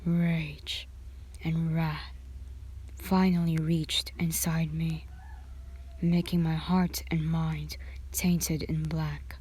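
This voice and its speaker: Slow, breathy woman reading a story. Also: sits low, little pitch movement, dark tone. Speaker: narrator reading own fan fiction. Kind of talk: scripted narration, ASMR story reading.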